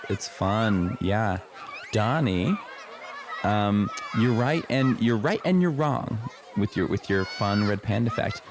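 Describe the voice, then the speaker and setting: A man's voice: lowish voice, creaky, background noise. A man playing a polite, patient but weary zookeeper, explaining things hesitantly in an improvised comedy radio play. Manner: hesitant, placating, trailing explanations.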